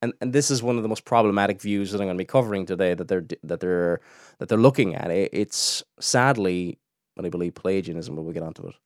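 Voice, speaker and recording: A man's voice: sits low, quick pace, no echo, studio quality. A young man from Cork, hosting a podcast and giving chatty commentary with frequent restarts. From Cork, Ireland. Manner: chatty, restarts often, laughs in asides. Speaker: podcast host. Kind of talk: casual commentary.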